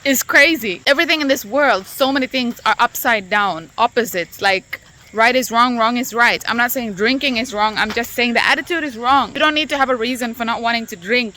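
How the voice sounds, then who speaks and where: A woman's voice: on the high side, a little fast, bright, forceful tone, slight background noise, low-quality recording. A young adult woman from Finland, telling a personal story in a candid, earnest vlog. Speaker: vlogger.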